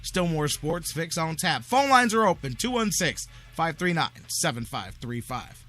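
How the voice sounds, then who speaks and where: A man's voice: on the high side, big pitch swings, measured pace, bright, forceful tone. An American man hosting sports talk radio and reading ads with an enthusiastic, salesman-like delivery. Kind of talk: sports talk and live ad reads. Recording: music bed behind the voice.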